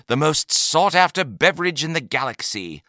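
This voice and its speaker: Sly alien merchant voiced by a man, ingratiating haggler for a sci-fi audiobook, animated and forward in tone. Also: on the high side, moderate pace, no room sound, studio quality, voice pushed up. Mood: boastful. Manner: ingratiating, conspiratorial, dramatic haggling. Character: sly, flattering male alien trader, youthful, theatrical salesman.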